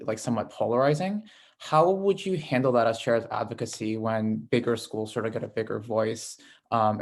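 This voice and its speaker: A man on a video call who asks a candidate a question in a measured way. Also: on the low side. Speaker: council member asking a question. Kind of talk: question in an online meeting.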